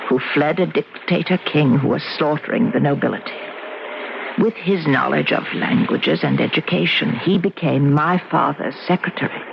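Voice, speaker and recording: A mysterious, alluring young ghost woman in a radio play. She speaks very slowly and solemnly, with a marked creak in her voice. The old recording is noisy. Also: low voice, muffled recording, exaggerated voice. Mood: reflective. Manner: solemn, measured, enigmatic. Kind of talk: old radio drama acting.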